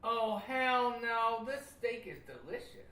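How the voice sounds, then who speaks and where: A catty, jealous, effeminate boyfriend in a comedy. His delivery is slow and drawn out, with big pitch swings and a sharp, bright tone in an echoey room. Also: sing-song, full character voice. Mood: sassy.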